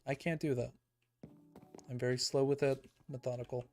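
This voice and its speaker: A young man streaming a building game, talking calmly and evenly in a soft, soothing voice he calls monotone. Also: mid-range voice, normal speed, music in the background. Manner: calm, soft-spoken, self-described monotone. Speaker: Minecraft streamer. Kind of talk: casual livestream chat while building in a game.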